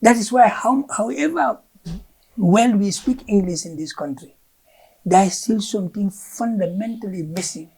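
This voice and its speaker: Gambian woman, Fula native speaker, husky and mellow; an emphatic official explaining policy, repeating words mid-thought. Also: medium pitch, moderate pace, rough recording. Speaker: curriculum official, interview guest. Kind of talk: explaining education policy in an interview.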